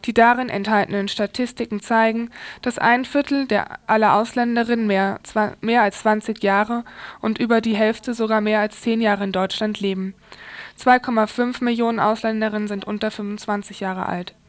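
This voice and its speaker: A woman's voice: fairly high pitch, fairly even intonation, normal speed. A woman presenting radio news from a script.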